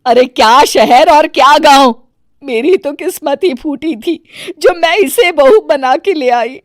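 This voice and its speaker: A woman's voice: high-pitched, measured pace, dry recording, studio quality. A woman playing a middle-aged mother-in-law in a moral story, doting yet easily offended, with an emphatic, exclaiming manner. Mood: offended, scolding. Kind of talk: dramatised Hindi moral story.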